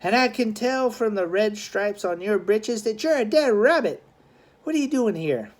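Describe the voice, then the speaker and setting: A scrappy, defiant teenage street boy played by a man, pitched well above an ordinary male voice. He talks in a taunting, streetwise way, as in a storybook adventure. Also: lively intonation, moderate pace. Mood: challenging.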